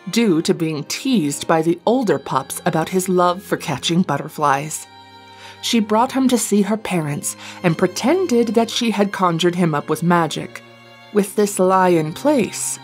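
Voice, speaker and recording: A woman narrates a scripted fantasy story in a storybook style. Her voice sits a little low for a woman, and music plays behind her. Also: darkish tone.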